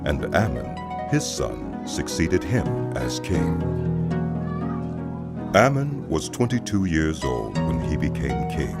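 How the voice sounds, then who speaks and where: A man narrating scripture for a dramatized audio production in a solemn, measured storytelling manner. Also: rumbling low. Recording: loud music behind the voice. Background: loud music.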